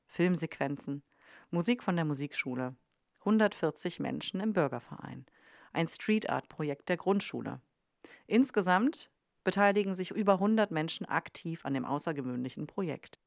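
A woman's voice: sits low, a little mellow, no room sound, clean studio sound. A woman host reading scripted documentary narration for a podcast. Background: faint music.

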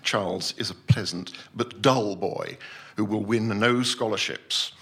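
A male actor performs a self-important gentleman scientist giving his formal report of a sea voyage. His voice is husky, with a very animated rise and fall. He is grandiose and deliberate, in the manner of a theatrical short story read on stage. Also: lowish voice, exaggerated voice. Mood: disdainful. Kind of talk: dramatic first-person short story reading at a live night.

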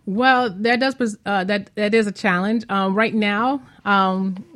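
A woman's voice: mid-range voice, steady tone, unhurried, a bit bright. A woman who is a homeschooling parent speaks as an interview guest, explaining things informally with examples and lists. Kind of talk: casual conversational explanation.

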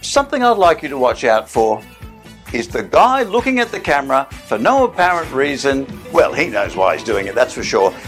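A man's voice: mid-range voice, very animated, moderate pace, a bit bright, soft background music. A man hosting a film-night special, chatty and enthusiastic, with playful asides. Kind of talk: casual upbeat presenting.

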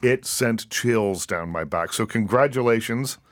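A man's voice: lowish voice, a little slow, no echo, clean studio sound. A man hosting an interview, chatty and easygoing, often restarting his sentences and laughing easily. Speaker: interview host. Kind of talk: casual interview.

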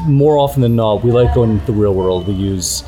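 A middle-aged man from Canada, a guest ethnographer speaking in a measured, reflective way with frequent restarts. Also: fairly low pitch, dark tone. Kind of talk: conversational interview.

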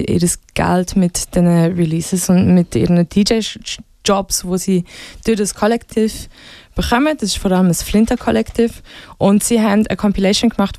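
A woman with a Swiss German accent talks casually about music as a radio guest. Her voice is dark and relaxed, recorded dry. She explains things informally, pausing and searching as she goes. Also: mid-range voice, no echo.

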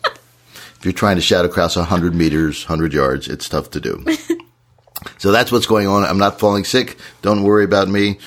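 Very deep-voiced hoarse man. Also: fairly even intonation, moderate pace, a bit bright. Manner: earnest, encouraging advice. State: hoarse from shouting. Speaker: podcast co-host. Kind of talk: casual podcast chat.

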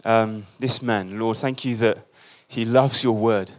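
A man leading a spoken prayer in a church service, halting, with many fillers. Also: lowish voice, fairly even intonation.

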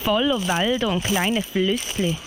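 A woman's voice: medium pitch, measured pace, background noise, natural voice. A woman playing an unsure but plucky little wolf girl in an amateur radio play, hesitant and puzzled, with a Swiss German accent. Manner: puzzled, hesitant, thinking aloud.

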